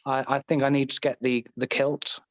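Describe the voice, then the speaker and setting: A Scottish man guests on a podcast, chatting in a casual, jokey, self-deprecating way. His voice sits at a medium male pitch, captured very dry with a slightly muffled lo-fi tone. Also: level tone, moderate pace, no room sound. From Scotland. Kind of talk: casual chat.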